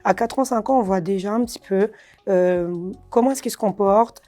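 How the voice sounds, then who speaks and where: A woman, answering interview questions casually and hesitantly, with many fillers and soft laughs. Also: mid-range voice, normal speed. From Réunion. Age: 30s. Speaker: karate champion guest.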